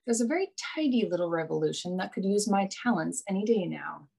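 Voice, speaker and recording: A woman's voice: mid-range voice, expressive, fast talker, creaky, a little mellow, husky. A woman playing a brash, wry young male adventurer in a radio play, with a dry, teasing manner. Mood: brash, eager.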